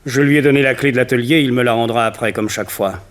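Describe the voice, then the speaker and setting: An actor playing a cold, domineering villain who commands and interrogates in a 1960s radio drama. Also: male voice, mid-range voice, fast, natural voice. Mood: commanding. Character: cold domineering male villain, a hypnotist master giving orders.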